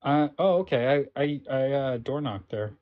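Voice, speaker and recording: A man's voice: lively intonation, normal speed, dry recording. Brief: young man, stream host, teasing and profane, dry dull lo-fi sound. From Alberta, Canada. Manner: teasing, profane, jumps into high exclamations. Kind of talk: casual livestream banter.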